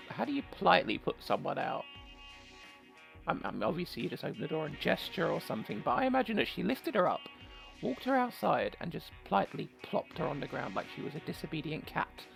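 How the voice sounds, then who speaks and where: Male gamer, higher-pitched with heavy vocal fry, joking and rambling between read-aloud lines, music underneath. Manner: wry, rambling, joking asides. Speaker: gamer and streamer doing a let's play. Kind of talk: casual game commentary mixed with reading story text aloud.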